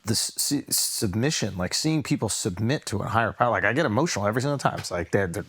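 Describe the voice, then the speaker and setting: Animated man, swooping pitch. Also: fast talker, breathy edge, no room sound. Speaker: podcast host. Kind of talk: casual chat.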